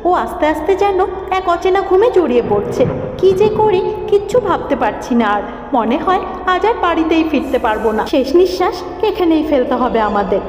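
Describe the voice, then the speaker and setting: A woman's voice: extremely high pitch, very animated, moderate pace, darkish tone, slight background noise. A woman voicing a timid, frightened schoolgirl who dramatically tells her ghost story in a cartoon. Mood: anxious, dramatic. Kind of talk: dramatic horror story narration. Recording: echoing, long reverb, muffled lo-fi, music. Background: music.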